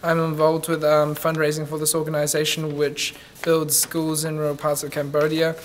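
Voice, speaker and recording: An upbeat young man tells of his volunteering, pausing on frequent ums. His voice sits fairly high, with a faint hiss behind it. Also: monotone. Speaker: student. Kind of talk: short testimonial snippets.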